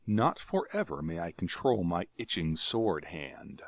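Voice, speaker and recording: A man's voice: deep voice, big pitch swings, unhurried, gravelly, no room sound, exaggerated voice. A man voicing a bold, impatient male warrior who speaks in a commanding way.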